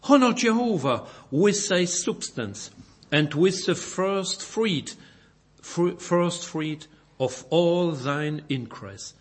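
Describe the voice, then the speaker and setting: Man preaching, husky, slow and halting, repeats words, Belgian. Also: a little high, lively intonation. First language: French. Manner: halting, repeats words, reads scripture aloud. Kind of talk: sermon.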